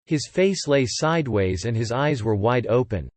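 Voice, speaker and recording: A man narrating fiction from a script as an audiobook narrator, in a measured, descriptive way. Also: medium pitch, expressive, a little mellow, no room sound.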